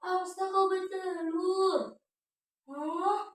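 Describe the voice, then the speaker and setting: A woman voicing a kind, lonely farmer in a children's fairy tale, with exclamatory, wondering, drawn-out phrases. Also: very high-pitched, steady tone, slight background noise, voice pushed up, exaggerated voice. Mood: amazed. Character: kind, lonely farmer, wide-eyed and tender toward animals. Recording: echoey room, distant microphone.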